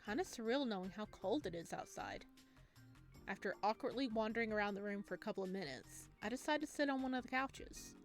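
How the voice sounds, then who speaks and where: A woman narrating a visual novel in a playful let's play, chatty and giggly, with expressive reactions. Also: medium pitch, fairly quick. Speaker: gaming video host reading a visual novel aloud. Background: game music.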